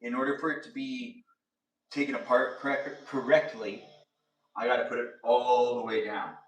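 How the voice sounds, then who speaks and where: A man's voice: mid-range voice, level tone, normal speed. Rambling man in his thirties, roomy and distant. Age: 30s. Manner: playful and rambling, sing-song asides. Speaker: livestream host. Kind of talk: casual livestream chat. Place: home kitchen area. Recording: roomy, distant camera audio.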